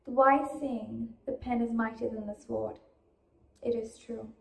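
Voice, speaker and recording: Young female student, creaky voice, deliberate speech recital, roomy acoustic. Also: on the high side, steady tone. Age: teenage to young adult. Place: indoor room. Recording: roomy echo.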